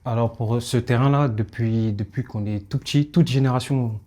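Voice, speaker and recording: A young man, a footballer, answering interview questions in relaxed, plain street talk. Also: medium pitch, normal speed, slight background noise. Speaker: footballer guest. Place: outdoors in a neighbourhood.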